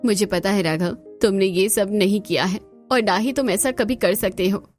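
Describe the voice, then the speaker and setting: A woman's voice: mid-range voice, moderate pace, faint music behind, natural voice. A woman voicing a kind, earnest young woman, the daughter of a rich company boss.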